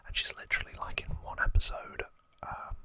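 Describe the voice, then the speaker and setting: An ASMR host whispering trivia in a breathy, unvoiced voice, explaining hesitantly with soft pauses. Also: no room sound.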